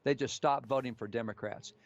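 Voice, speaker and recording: A man's voice: medium pitch, fairly even intonation, brisk, vocal fry. A man working as an election analyst, giving live commentary on incoming figures in an analytical, carefully qualified way. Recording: dry, muffled lo-fi feed.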